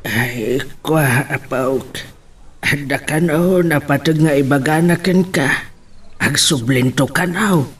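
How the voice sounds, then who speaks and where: Actor as slow, hesitant Ilocano grandfather. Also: a little high, a bit bright, a little hiss or hum. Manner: halting, hesitant. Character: hesitant elderly grandfather gently mediating. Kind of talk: Ilocano radio drama acting.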